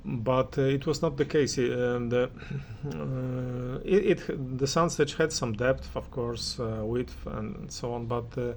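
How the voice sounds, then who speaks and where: A man's voice: mid-range voice, fairly even intonation, slow pace, hardly any pauses, darkish tone, a little hiss or hum. A man gives an unscripted spoken review of audio equipment, speaking hesitantly and often searching for his words. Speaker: audio reviewer giving listening impressions.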